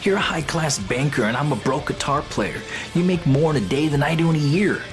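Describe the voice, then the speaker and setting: A man's voice: a little high, fairly quick, natural voice. An actor playing a jealous, insecure young male guitarist in an audio drama, teasing and needling his girlfriend. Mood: self-deprecating. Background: live band music.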